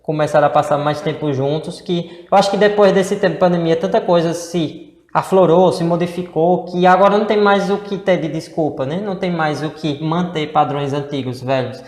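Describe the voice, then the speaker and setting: Male co-host, Northeastern Brazilian accent, rambling explainer, slightly high voice, recorded in a reverberant room. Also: normal speed, darkish tone. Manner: rambling, many fillers and rhetorical questions. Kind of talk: casual explanatory chat. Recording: echoey room with long reverb.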